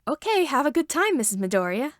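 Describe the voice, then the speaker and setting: A woman voicing a bright, excitable, friendly man in a story reading. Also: high-pitched, fast, dry recording, clean studio sound, pitched above the performer's own voice. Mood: cheerful. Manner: exuberant.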